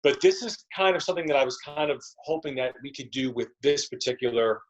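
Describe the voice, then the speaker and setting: A man's voice: mid-range voice, a little fast, muffled recording. Man giving rambling interview answers. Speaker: actor and interview guest.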